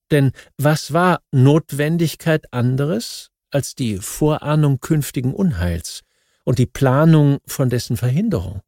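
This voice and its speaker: A man reads scripted historical non-fiction as an audiobook narrator, in a measured, formal manner with deliberate emphasis. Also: mid-range voice, vocal fry, dark tone, no room sound, clean studio sound.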